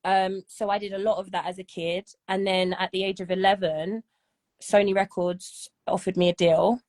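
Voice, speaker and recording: A woman's voice: medium pitch, little pitch movement, normal speed, a little mellow. A woman in her thirties, a singer, chatting casually in an interview and often checking "do you know what I mean?". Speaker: singer and guest.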